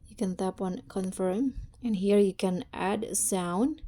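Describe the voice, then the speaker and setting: A woman hosting a casual step-by-step tutorial, narrating in a halting, deliberate way. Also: medium pitch, warm tone. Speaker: tutorial host.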